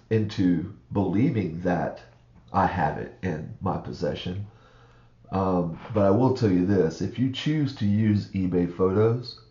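A man's voice: deep voice, a little mellow, reverberant, highs cut off. A man with a froggy, groggy morning voice, rambling through a casual screen-share walkthrough with many fillers. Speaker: online reseller vlogger.

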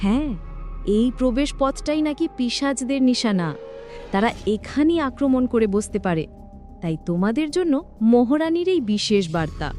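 A woman voicing an earnest, formal fairy royal messenger in an animated fairy tale. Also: a little high, moderate pace, lightly acted. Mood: urgent. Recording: music under the voice.

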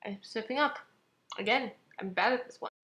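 High-voiced woman, informal and self-critical. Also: moderate pace, pushed and bright. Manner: self-critical, stumbling over lines. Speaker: amateur comic dub voice actor. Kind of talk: reading comments aloud, informal comic dub.

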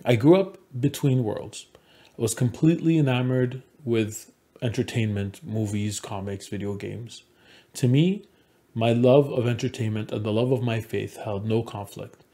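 A man in his forties from Montreal gives a prepared conference presentation in a measured, earnest storytelling manner. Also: mid-range voice, dark tone. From Montreal, Canada. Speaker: conference speaker, game developer. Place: small indoor room at home.

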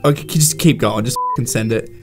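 A young man co-hosting with casual, joking banter, excitable and quick to swear. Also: medium pitch, music in the background. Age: young adult. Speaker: co-host. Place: small indoor studio, at a table.

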